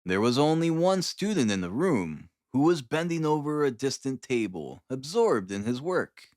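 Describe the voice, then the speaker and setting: A man's voice: medium pitch, very animated, normal speed, a little mellow, dry recording. Guy narrating a classic audiobook, lots of inflection, very dry close sound. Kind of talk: scripted literary narration.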